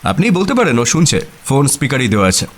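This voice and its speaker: An actor playing a detective's good-humoured friend, narrating a radio drama in an expressive, wry, conversational way. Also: male voice, medium pitch, fast. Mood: good-humoured, animated.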